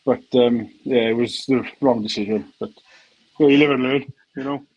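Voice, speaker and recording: A man chatting casually on a live call, easygoing and quick to laugh. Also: medium pitch, steady tone, a little mellow, highs cut off.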